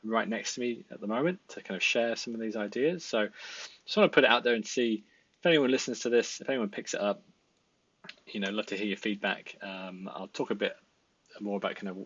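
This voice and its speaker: A Welsh man in his thirties rambles through a casual self-introduction, full of ums and restarts. His voice sits fairly low. The sound is dry and a little dull, like a headset mic at a desk. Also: brisk, fairly bright tone, slightly rough, no echo. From Wales. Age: 30s. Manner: rambling, many fillers and restarts. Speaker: designer and part-time founder. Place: indoor home room at a desk.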